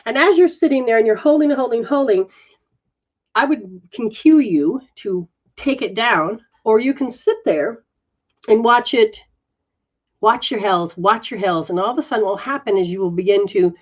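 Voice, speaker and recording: A woman giving a live spiritual reading and healing session, coaching softly with repeated phrases. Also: fairly high pitch, expressive, moderate pace, dull audio. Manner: soft, coaching, repetitive phrasing. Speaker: metaphysical practitioner giving readings.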